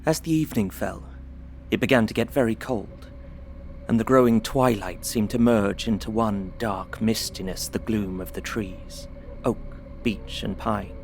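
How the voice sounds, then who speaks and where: An actor playing an observant young gentleman traveller, narrating his journal in measured, literary audio drama style. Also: lowish voice, creaky, slight background noise. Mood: absorbed, wondering. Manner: measured, descriptive storytelling.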